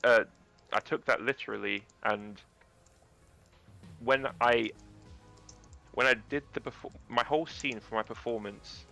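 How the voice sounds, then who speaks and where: A man with a naturally deep voice, a guest actor chatting casually about acting, rambling and restarting his sentences. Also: a little slow, creaky, long pauses, pushed and bright, faint music behind.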